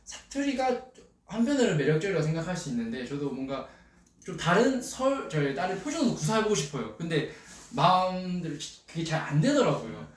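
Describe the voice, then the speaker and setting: A man's voice: on the high side, sing-song, brisk, far from the mic. A young man with a regional Korean dialect, chatting playfully and laughing often during a casual livestream. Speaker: idol group member on a livestream. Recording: echoey room, off-mic, faint noise.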